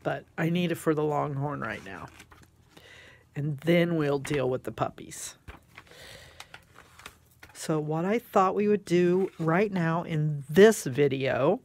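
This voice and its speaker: Female craft vlogger, low voice, slow rambling delivery, gushing and exclamatory, stuffed-up nose. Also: lively intonation, darkish tone. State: congested, snotty nose. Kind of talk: casual rambling chat while showing craft items.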